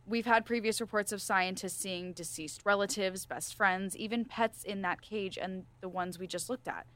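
A composed female scientist played by a woman in an audio drama. Her medium-pitched voice is measured and formal, carrying firm authority with a gentle side as she questions and explains. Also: fairly even intonation, lightly acted. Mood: explanatory. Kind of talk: student audio drama acting.

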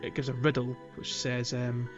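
A man's voice: mid-range voice, completely flat delivery, moderate pace, music in the background. A man co-hosting a gaming podcast, chatting casually and explaining things in an informal, hesitant way. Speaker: podcast co-host.

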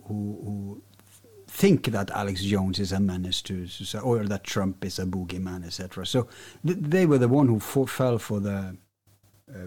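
A man's voice: medium pitch, a little mellow, breathy edge. A man from Norway, co-hosting a podcast, who talks in an emphatic, ranting way with frequent restarts. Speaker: podcast co-host. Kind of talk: opinionated podcast talk.

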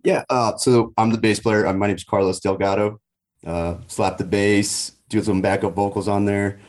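Deep-voiced, easygoing male guest. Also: moderate pace. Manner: relaxed, hesitant, with many fillers. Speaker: bass player, guest. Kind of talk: casual interview answers.

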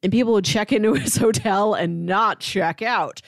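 A woman with a mid-pitched voice talks casually as a podcast co-host. She explains things with enthusiasm, sprinkling "like" through her sentences. The sound is dry, with very little room in it. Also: moderate pace, vocal fry, dry recording. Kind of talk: casual comic book discussion.

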